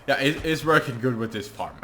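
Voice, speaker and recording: A young man with a Norwegian accent, English not his first language, casually talking through his gameplay and thinking aloud with frequent ums and pauses. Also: mid-range voice, noisy background. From Norway. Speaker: gaming channel host. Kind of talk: let's play commentary.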